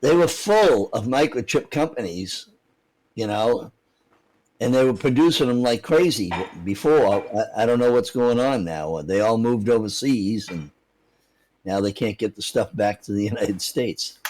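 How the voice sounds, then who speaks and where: A man's voice: fairly low pitch, animated, a little slow, a little mellow, slight background noise, poor audio. A man chatting casually in an easygoing, joking way. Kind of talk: casual chat.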